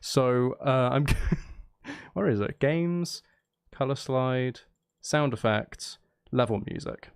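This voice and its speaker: A man's voice: medium pitch, animated, slow pace, long pauses, clear, resonant tone. A man chatting casually to viewers on a livestream, rambling, repeating himself and breaking off mid-sentence in a jokey, self-deprecating way. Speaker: live streamer.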